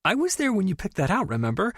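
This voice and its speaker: A shrill, bubbly young woman who can't contain her excitement. Her voice jumps high and swings wildly as she gushes, breathless and squealing. Close, dry audiobook sound. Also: fast, slightly rough, no echo. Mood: gushing. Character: gushing, excitable young woman best friend. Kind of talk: audiobook character voice.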